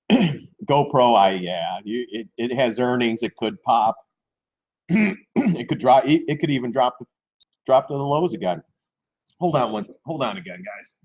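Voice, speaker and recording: A man hosting a live stock trading stream in casual chat, hoarse and losing his voice, clearing his throat often. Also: medium pitch, expressive, a little slow, pauses often, darkish tone. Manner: keeps clearing his throat, chatty and informal. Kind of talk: casual live market commentary. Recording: dull lo-fi stream audio.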